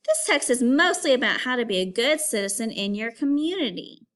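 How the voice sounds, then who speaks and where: A woman teacher reading aloud to young pupils and explaining as she goes, in an instructive, clearly phrased way. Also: on the high side, very animated, a little fast, fairly bright tone. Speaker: elementary school teacher. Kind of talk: read-aloud reading lesson for children.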